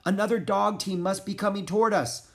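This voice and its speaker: A man's voice: sits high, fairly even intonation, fairly quick, a bit bright, pitched above the performer's own voice. A man voicing a brave, anxious young boy sled driver in a children's audiobook, calling out urgently. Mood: alert.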